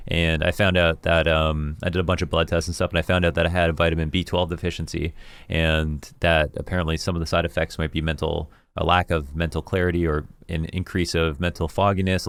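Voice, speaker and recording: A man with a deep voice, an esports commentator candidly reviewing his own work in a rambling, self-critical way. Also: gravelly, no room sound, clean studio sound. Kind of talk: reflective talk reviewing his own casting.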